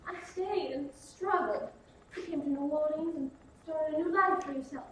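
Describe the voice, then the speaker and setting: An actress playing a fragile, faded belle, theatrical and close to hysteria in a 1950s film drama. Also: female voice, sits high, normal speed, slight background noise, lightly acted. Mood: anguished. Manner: theatrical, breathy, swings to near hysteria. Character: fragile, faded Southern belle, highly strung. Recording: echoey, distant old film soundtrack.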